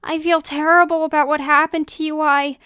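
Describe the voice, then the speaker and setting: A woman's voice: high voice, level tone, brisk, pushed and bright, no echo, studio quality, voice pushed up. A woman voicing a hurt, defensive young man in an emotional storybook quarrel. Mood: remorseful, pleading. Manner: emotional, emphatic.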